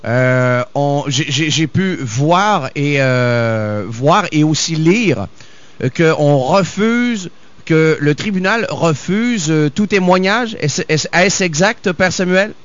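A man's voice: on the high side, animated, slow pace, a bit bright. Hesitant male interviewer, lo-fi sound. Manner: hesitant, many fillers and restarts. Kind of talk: interview questions. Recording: dull lo-fi sound.

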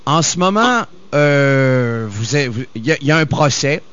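A man interviewing a priest, hesitant and halting, with many fillers and restarts. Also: medium pitch, lively intonation, fairly bright tone, smooth. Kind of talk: interview questions. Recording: dull lo-fi sound.

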